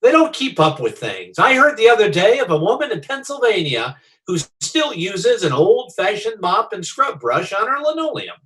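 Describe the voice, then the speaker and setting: A man's voice: sits high, sing-song, moderate pace, few pauses, fairly bright tone. A man playing a chummy, earnest salesman in old-time radio comedy style, full of eager sales patter. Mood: eager, selling. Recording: distant from the microphone.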